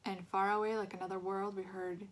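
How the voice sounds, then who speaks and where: A woman reading a storybook aloud as an expressive narrator. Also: mid-range voice, fairly quick, a little hiss or hum.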